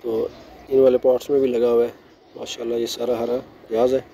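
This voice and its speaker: A middle-aged man giving a relaxed, chatty tour of his rooftop garden, mixing English words into his speech, recorded outdoors on a phone. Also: mid-range voice, a little fast, a little hiss or hum. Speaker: gardening vlogger. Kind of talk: casual show-and-tell narration.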